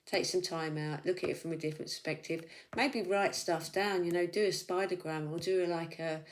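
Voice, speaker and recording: A woman's voice: on the low side, steady tone, brisk. A woman giving a casual weekly tarot reading, chatty and reassuring, thinking aloud as she goes, with a slight sore throat. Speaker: tarot reader.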